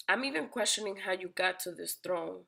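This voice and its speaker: A woman giving a weekly tarot horoscope reading, direct and questioning, her voice raspy from being under the weather. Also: fairly low pitch, pushed and bright, a little hiss or hum. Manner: direct, questioning, addresses the listener by sign. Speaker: tarot reader.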